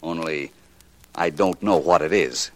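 An actor playing a suave, unflappable gentleman detective with urbane, measured, precise speech in a 1940s radio drama. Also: extremely low pitch. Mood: deliberate, puzzled.

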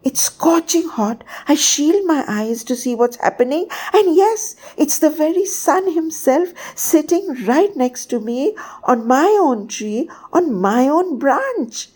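A tiny, timid boy sparrow acted out by a woman in a storybook. The voice is very high and squeaky, with lively swoops of pitch. He pleads and repeats himself in a frightened fluster. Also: slow pace. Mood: awed, alarmed. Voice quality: squeaky, chirruping. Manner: breathless repetition, stammering pleas.

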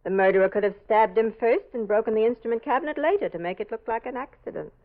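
A woman's voice: on the high side, fast talker, natural voice. A woman acting a determined, suspicious amateur sleuth in a 1940s radio mystery, dramatic and insistent. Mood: pointed, suspicious. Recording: dull old radio broadcast recording.

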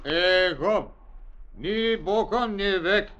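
A man's voice: high-pitched, takes it slowly, fairly bright tone. A man playing a commanding tribesman who barks clipped orders in old-time radio adventure style. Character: commanding male native tribesman speaking an invented tongue. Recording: muffled vintage radio recording.

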